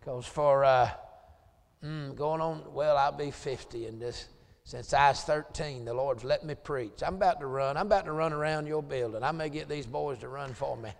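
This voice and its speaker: A gravelly-voiced Southern preacher in his late forties, pitched a little higher than most men. He swings between low, chatty asides and loud, hollered lines of scripture. The sound rings through a church with a long echo. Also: normal speed. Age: 40s. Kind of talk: Southern revival sermon. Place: church sanctuary.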